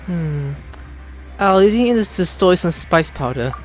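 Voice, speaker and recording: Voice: mid-range voice, expressive, moderate pace, music in the background, poor audio. A video creator giving casual let's play commentary, slangy, reactive and playful.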